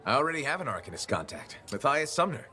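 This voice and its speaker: Easygoing, lively game hero, dryly sarcastic. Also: male voice, medium pitch, quick pace. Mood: dry, wry. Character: young-adult male freelance mercenary hero, easygoing, dryly sarcastic. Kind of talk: video game dialogue acting.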